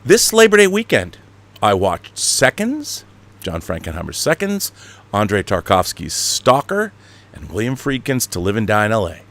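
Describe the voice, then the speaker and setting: A man's voice: lowish voice, big pitch swings, normal speed, fairly bright tone. An American man hosting an online show in a casual, rambling monologue to his viewers, with a grandiose, playful showman's manner.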